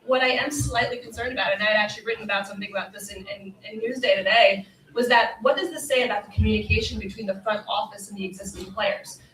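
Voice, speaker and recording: A woman's voice: mid-range voice, steady tone, fast, fairly bright tone, a little hiss or hum. A woman talking sports on a live panel, making her case in an emphatic, conversational way. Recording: echoey live room, distant from mic.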